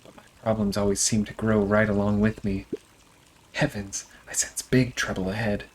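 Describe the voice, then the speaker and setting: A man voicing a gruff, gloomy worrier from a storybook game. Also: fairly low pitch, level tone, normal speed, a little hiss or hum, close to an everyday voice, low-quality recording.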